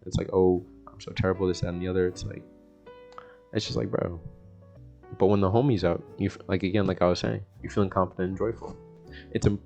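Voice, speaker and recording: A man's voice: deep voice, fairly even intonation, fast talker, vocal fry, long pauses, darkish tone, soft background music. A young American man giving a casual self-help monologue in a laid-back, slangy, rambling way. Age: young adult. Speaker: vlogger.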